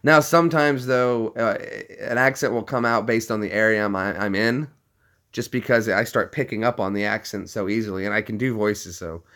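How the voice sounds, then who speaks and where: A man's voice: lowish voice, normal speed, fairly bright tone. A man from Tennessee with a neutral American accent, chatting casually to camera. He is jokey and explains things step by step. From Tennessee, United States. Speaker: vlogger.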